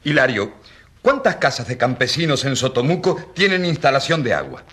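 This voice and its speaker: An actor playing a rural priest on trial in a courtroom radio drama, questioning insistently and defending peasants with indignant irony. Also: male voice, medium pitch, big pitch swings, moderate pace, fairly bright tone. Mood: insistent, ironic.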